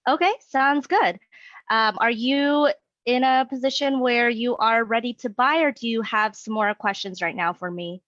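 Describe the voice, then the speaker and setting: Young woman candidate, upbeat and friendly, a slightly high voice, dry and dull lo-fi call sound. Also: normal speed, a bit bright, no echo. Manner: upbeat and friendly, many okays and likes. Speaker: job candidate playing a real estate agent. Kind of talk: mock sales interview role play. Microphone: video call.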